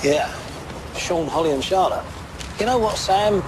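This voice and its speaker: A British man playing a steady police detective, asking measured, probing questions in a naturalistic drama style. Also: high-pitched, background noise. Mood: pressing.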